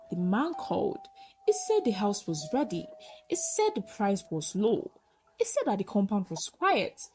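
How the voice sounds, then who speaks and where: A woman with a Nigerian accent narrating a dramatic folktale in short, deliberate storybook phrases with pauses. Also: mid-range voice, mellow, a little hiss or hum.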